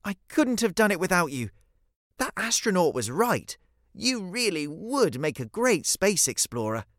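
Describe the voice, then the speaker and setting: A storyteller voicing an excitable, determined young girl in a children's story. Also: medium pitch, unhurried, dry recording, clean studio sound, pitched above the performer's own voice. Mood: delighted.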